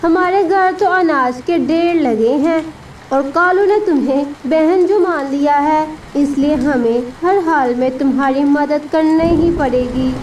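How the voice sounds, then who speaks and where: A woman's voice: sits high, measured pace. A woman voicing a spiteful, sarcastic crow wife who nags and scolds in a children's cartoon. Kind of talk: children's cartoon story acting. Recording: distant voice with steady rain noise.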